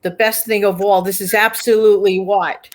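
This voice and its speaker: A woman's voice: mid-range voice, little pitch movement, moderate pace. A woman hosting a livestream in casual chat, repeating words for emphasis. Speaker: host of a livestream.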